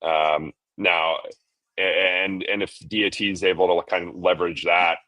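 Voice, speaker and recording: A man co-hosting a podcast, talking in a relaxed, joking way and often pausing to restart his sentences. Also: low voice, no echo. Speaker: podcast co-host. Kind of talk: casual podcast chat. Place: recently moved to the southern US.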